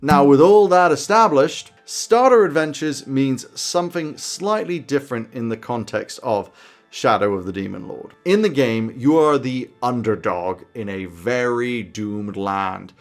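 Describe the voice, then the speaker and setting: A man hosts a roleplaying video from a small home office. His mid-pitched delivery is emphatic and enthusiastic. Music plays behind his scripted commentary. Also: animated, a little slow. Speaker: tabletop roleplaying video host. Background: background music.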